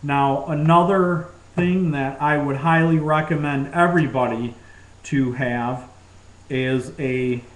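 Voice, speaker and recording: A man presents gear in an informal demonstration, speaking slowly in a slightly high-pitched voice. He sounds keen to recommend what he shows. The recording has a clear roomy echo. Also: fairly even intonation.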